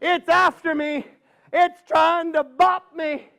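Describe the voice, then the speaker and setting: Guy doing a squeaky panicky little old lady, wailing, drawn out. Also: little pitch movement, slow, vocal fry, bright, forceful tone, heavily acted. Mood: frantic. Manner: squealing, breathless wails.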